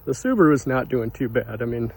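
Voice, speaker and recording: A soft-spoken man giving an informal devotional talk outdoors near a street, in a quiet, unhurried manner. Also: mid-range voice, expressive, vocal fry, husky, low-quality recording. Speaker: devotional vlogger. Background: passing cars.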